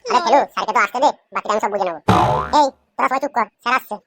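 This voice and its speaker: A woman's voice: a little high, rapid-fire, noisy background, natural voice. A woman voicing a cheeky, cocky schoolboy in a comic cartoon. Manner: cheeky, insistent. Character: cheeky, cocky schoolboy prankster with absurd answers.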